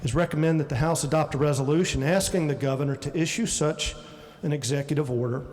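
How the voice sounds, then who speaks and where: Measured man explaining a bill. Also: on the high side, a little mellow. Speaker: state delegate. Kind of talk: floor speech. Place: legislative chamber. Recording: long hall reverb, faint ambience.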